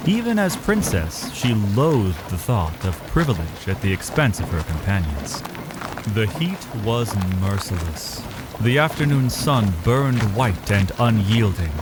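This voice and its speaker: A man's voice: lowish voice, low-quality recording. A man giving dramatic, scripted narration for a fantasy audio drama as its storyteller. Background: music.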